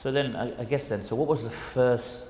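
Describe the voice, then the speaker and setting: A man's voice: mid-range voice, steady tone, normal speed, a little mellow, in a large hall, slight background noise. A man hosting a studio talk show interview, speaking fluently with Arabic greetings. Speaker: host.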